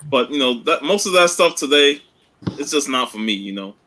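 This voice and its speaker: A man's voice: medium pitch, lively intonation, normal speed, pushed and bright, a little hiss or hum. A man talking casually on a podcast panel, easygoing and colloquial, often tagging phrases with "you know". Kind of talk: casual chat.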